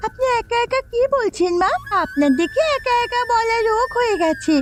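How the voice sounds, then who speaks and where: Cartoon daughter-in-law, played by a woman: young, sharp-tongued, nagging, very high voice over music. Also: animated, normal speed. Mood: nagging, angry. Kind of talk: Bengali moral-tale cartoon dubbing.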